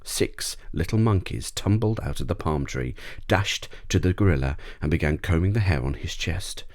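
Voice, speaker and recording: A man's voice: deep voice, lively intonation, moderate pace, darkish tone, no room sound. A man narrating a children's bedtime story as a scripted ASMR audiobook, close to the microphone.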